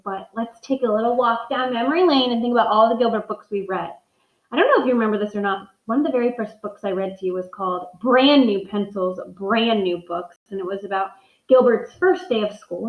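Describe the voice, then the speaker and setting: A woman teacher with a fairly high voice reads and talks to young pupils. Her delivery is expressive and geared to children. The sound is echoey and a little dull. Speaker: primary school teacher reading aloud. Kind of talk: storybook read-aloud with casual asides to pupils.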